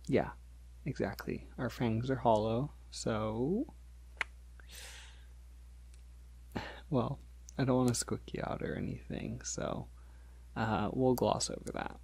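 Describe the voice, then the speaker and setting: A man playing a polite, shy vampire in an ASMR roleplay, speaking softly and haltingly in a gentle, reassuring way. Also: medium pitch, vocal fry, breathy edge. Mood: gentle, explaining. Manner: hesitant, halting, gentle, reassuring, with small nervous chuckles. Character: polite, shy, considerate male vampire on a first feeding date.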